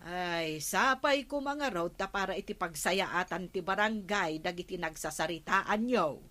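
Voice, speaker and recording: Scolding village wife: Ilocano accent, sharp bright voice, emphatic and unhurried, radio comedy. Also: female voice, lowish voice. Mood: stern, nagging. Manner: nagging, emphatic.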